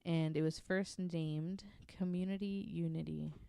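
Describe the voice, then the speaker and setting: Smooth-voiced woman telling a story casually. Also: lowish voice, fairly even intonation, a little slow, warm tone, no echo. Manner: candid, self-deprecating, swears casually. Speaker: podcast host telling the story. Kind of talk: casual nonfiction storytelling chat.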